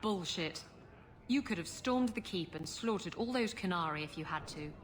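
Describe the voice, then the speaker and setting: A woman's voice: on the low side, animated, moderate pace, lightly acted. Woman voicing a blunt, defiant rogue. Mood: defensive, irritated. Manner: blunt, irritable. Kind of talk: video game character acting.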